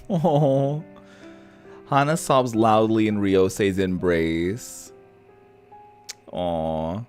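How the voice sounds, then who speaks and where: A streamer with an expressive, slangy way of talking, casually reacting to a visual novel and reading its narration aloud, with game music behind. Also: medium pitch, very slow, pauses often. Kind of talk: casual gameplay commentary mixed with narrated reading.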